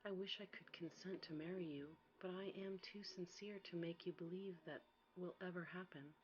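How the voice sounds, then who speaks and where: A woman voicing a kind, candid, timid young woman in a fairy tale, softly, politely and earnestly. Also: on the low side, steady tone, moderate pace, highs cut off, close to an everyday voice. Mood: gently firm. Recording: faint background noise.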